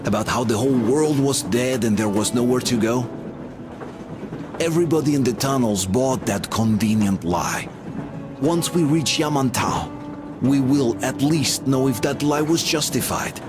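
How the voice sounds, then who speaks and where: A man's voice: mid-range voice, expressive, a little slow, natural voice. An actor voicing a reflective, sober male survivor narrating his journey in a scripted game style. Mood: somber, reflective. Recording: music under the voice.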